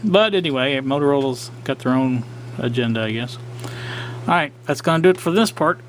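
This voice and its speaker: A man's voice: medium pitch, expressive, fairly quick, a little hiss or hum. A man hosting a casual workshop vlog on old radios, talking in a rambling way with many fillers and restarts. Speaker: radio hobbyist channel host.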